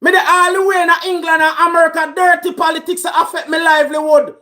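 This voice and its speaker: A man with a Jamaican accent, shouting through an angry political rant. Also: very high voice, level tone, fast. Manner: shouting, raging.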